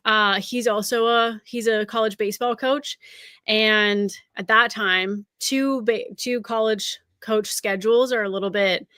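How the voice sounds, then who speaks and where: A woman's voice: mid-range voice, steady tone, a little slow, bright, forceful tone, no echo, clean studio sound. A woman in her thirties from Canada, a college recruiting scout chatting as an interview guest in an upbeat way with lots of fillers. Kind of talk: casual interview conversation.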